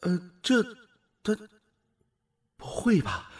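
An actor playing an awestruck space explorer in a radio drama, halting and full of wonder. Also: male voice, sits high, wildly swooping pitch, a little mellow, exaggerated voice. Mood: startled, confused. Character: awestruck space explorer newly transformed into an alien body.

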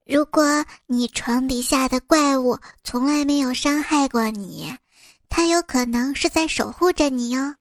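A woman reading a short scripted, gentle aside in a bedtime tale programme. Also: sits high, a little slow, dry recording.

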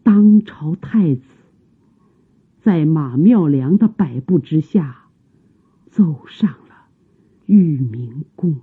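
A man narrating a radio drama in a grave, hushed, deliberate way, with a breathy voice. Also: a little high, animated. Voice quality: breathy, husky. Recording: tinny recording with music.

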